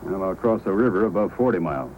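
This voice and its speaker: Commanding Mountie sergeant, swinging pitch. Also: male voice, animated, fast talker, a little mellow, slight background noise, poor audio. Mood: resolute. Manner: firm, authoritative, declamatory. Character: brave, dutiful Mountie sergeant, commanding and upright. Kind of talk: old-time radio adventure acting. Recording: old radio recording with music.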